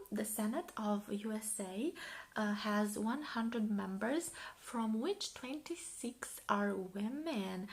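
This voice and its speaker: A woman from Romania with a Romanian accent, speaking softly in an ASMR style and often slipping into a whisper, musing and repeating short phrases. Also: mid-range voice, vocal fry, low-quality recording. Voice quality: soft, often breaking into whispers. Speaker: ASMR video creator reviewing a magazine. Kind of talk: soft-spoken ASMR.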